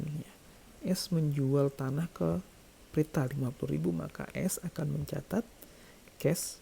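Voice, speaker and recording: Guy doing an accounting tutorial, really dark soft-edged voice, bit of fry, repeats stuff for emphasis, faint background noise. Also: on the high side. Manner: repeats key phrases for emphasis, checks in often. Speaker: accounting lecturer. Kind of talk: explanatory tutorial lecture, working through figures step by step.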